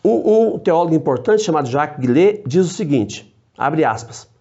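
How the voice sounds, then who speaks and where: Brief: male priest, fairly high but mellow voice, patient teacher, dull lo-fi sound. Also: animated. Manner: measured, explanatory, short phrases with frequent tag questions. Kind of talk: theology teaching to camera. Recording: dull lo-fi recording.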